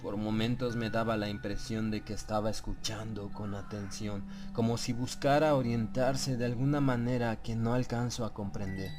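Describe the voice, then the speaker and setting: A man narrating a horror audiobook in a solemn, measured, suspenseful way. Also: on the low side, fairly even intonation. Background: prominent background music.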